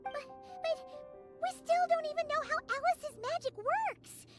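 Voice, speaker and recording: A woman voicing a tiny, excitable, anxious childlike companion in a video game, with a squeaky voice. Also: measured pace, faint music behind. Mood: hesitant, anxious.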